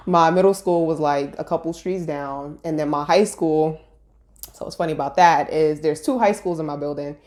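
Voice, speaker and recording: Young new woman, low voice, laid back and chatty, laughs a lot. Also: normal speed, a little mellow. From Brooklyn, New York. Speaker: interview guest. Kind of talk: casual storytelling conversation.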